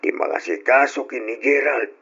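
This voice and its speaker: A man playing a troubled elderly father on a pension, husky and hesitant, in an old-style radio drama. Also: medium pitch, animated, moderate pace, fairly bright tone, slight background noise. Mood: resigned, concerned. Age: older. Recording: muffled radio recording.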